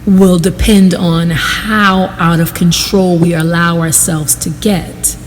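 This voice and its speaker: A woman giving guided meditation instruction in a measured, deliberate way, pausing between short phrases. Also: fairly low pitch, fairly even intonation, long reverb tail, a little hiss or hum.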